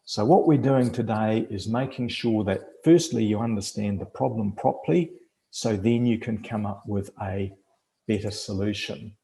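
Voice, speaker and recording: A man with a New Zealand accent presenting a webinar over a video call, explaining in a measured way with frequent fillers. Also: on the low side, gravelly, mellow, echoey room, low-quality recording. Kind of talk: teaching a webinar.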